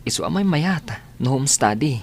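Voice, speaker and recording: A man's voice: mid-range voice, animated, normal speed, lightly acted. Male actor as sickly young student, earnest, dutiful, reassuring, radio drama, slight background noise. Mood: reassuring, grateful.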